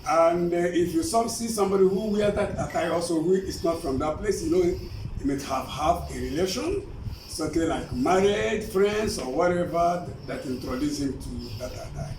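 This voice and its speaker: Male interviewee, Nigerian Igbo accent, slightly high-pitched voice, explanatory and emphatic, picked up from far off. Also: normal speed, a little mellow. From eastern Nigeria. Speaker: interview guest. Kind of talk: informal explanatory interview answers. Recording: roomy, distant, clearly noisy recording.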